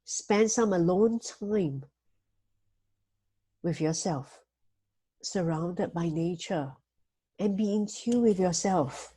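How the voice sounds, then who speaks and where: A woman hosts a motivational talk, giving weekly tips in a gentle, measured way. Her voice is mellow and slightly breathy. The sound is dry and close, with no room echo. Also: fairly low pitch. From Singapore. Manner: gentle, measured, frequent "you know" fillers.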